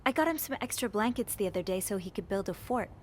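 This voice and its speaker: A woman plays a young maid who snoops around a 1950s hotel in a mystery game. She sounds earnest and polite, with a curious edge. Her voice sits at an average pitch for a woman. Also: animated, fast, a little hiss or hum, lightly acted. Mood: intrigued. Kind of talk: video game cutscene acting.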